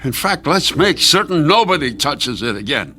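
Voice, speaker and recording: A man's voice: on the high side, very animated, normal speed. A man playing a fussing, caring old country husband in an audio drama, folksy and stammering, with music behind him. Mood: jittery, uneasy.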